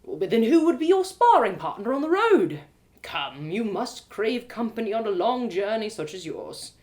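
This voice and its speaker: A woman's voice: fairly high pitch, sing-song, normal speed, darkish tone. A woman voicing a stern, suspicious male elf traveler who questions her closely in a formal, insistent manner. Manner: probing, formal, coolly insistent. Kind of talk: one-woman audio drama reading.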